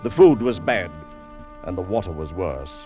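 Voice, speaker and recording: An actor playing a storyteller, giving dramatic scripted narration of a regiment's march in an old-time radio drama. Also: male voice, sits low, very animated, moderate pace, over music. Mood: grave, descriptive. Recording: dull old radio recording.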